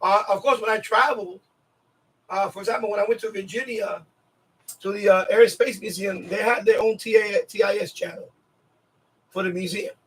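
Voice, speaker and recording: A man's voice: high-pitched, expressive, moderate pace, a bit bright, husky, rough recording. A man hosting a casual live chat, rambling and often repeating himself as he talks to viewers. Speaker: livestream host.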